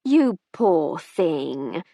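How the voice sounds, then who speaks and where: A woman's voice: deep voice, big pitch swings, very slow, warm tone, no echo, exaggerated voice. A woman doing a gushing, imperious society wife. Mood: gushing, sharp. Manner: gushing, then icy and imperious. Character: shrill, sharp-tongued society wife in her fifties.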